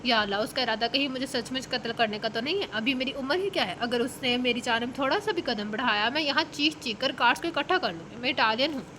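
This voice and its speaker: A woman's voice: high voice, fast, hardly any pauses, bright, forceful tone, a little hiss or hum. A woman reading a jittery young woman interviewer. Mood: panicked. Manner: stammering, nervous.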